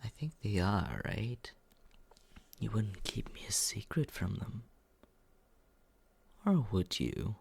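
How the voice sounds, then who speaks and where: A smug, mocking young man, played by a young man leans close and whispers his teasing in a slow, unhurried drawl. The voice is low and gravelly, with a breathy, husky edge. He sounds condescending but quietly looks after the listener, all in soft close-mic ASMR. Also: very animated, exaggerated voice. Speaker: ASMR roleplay performer.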